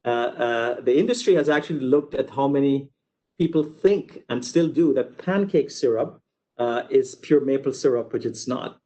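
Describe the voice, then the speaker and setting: Male researcher from Guyana, medium pitch, presenting an informal academic webinar with explanatory detours and repeated words. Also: moderate pace, mellow. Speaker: university researcher giving a webinar. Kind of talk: informal academic lecture with slides.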